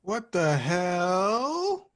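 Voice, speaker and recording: A woman's voice: on the low side, very animated, drawn-out words, exaggerated voice. A woman playing an awkward, flustered young slacker guy from a band in a screenplay table read, blurting out lines.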